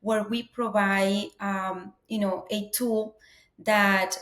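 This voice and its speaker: A woman's voice: mid-range voice, flat delivery, slow, a bit bright. A woman from Colombia, telling her story earnestly as a guest, often saying "you know". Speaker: guest, business owner. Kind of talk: interview conversation. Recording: roomy echo.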